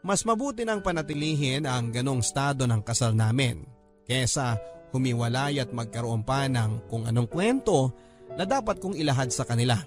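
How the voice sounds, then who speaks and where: A man's voice: mid-range voice, animated, moderate pace. A man with a Filipino accent reading a scripted first-person story as a radio drama narrator. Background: music.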